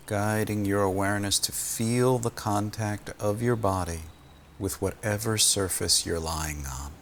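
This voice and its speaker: A man with a fairly low, gravelly voice guides a body scan meditation. He speaks very slowly and soothingly, leaving long pauses between gentle phrases. A faint noise sits behind him.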